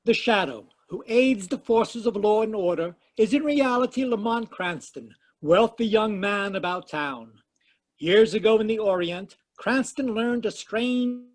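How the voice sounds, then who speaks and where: High, emphatic old-time radio announcer. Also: male voice. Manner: emphatic, declamatory. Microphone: home microphone on a video call.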